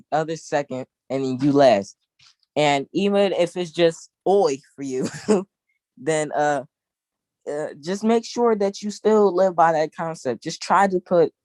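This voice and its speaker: A young man, president of a youth council, speaking casually on a video call in an easygoing, halting way. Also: on the high side, a little mellow, no room sound. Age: young adult. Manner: easygoing, halting, with many fillers and restarts.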